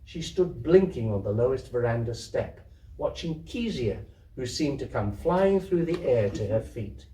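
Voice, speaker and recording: A man giving an expressive live reading of a literary story indoors. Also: mid-range voice, measured pace, a little hiss or hum. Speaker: theatre troupe reader. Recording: roomy echo, distant microphone.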